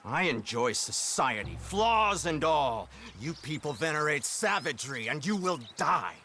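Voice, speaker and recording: An actor playing a cold, menacing government lawman who speaks in a crisp, clipped, coldly polite way. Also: a little high, sing-song, unhurried, pushed and bright, slight background noise, heavily acted. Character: cold, menacing male lawman, polished and contemptuous. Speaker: government agent. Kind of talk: video game cutscene acting.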